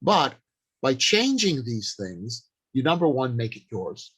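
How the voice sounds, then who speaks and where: An older man presenting an informal instructional talk in a halting, deliberate, explanatory way. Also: medium pitch, big pitch swings, fairly bright tone, breathy edge. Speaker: workshop presenter.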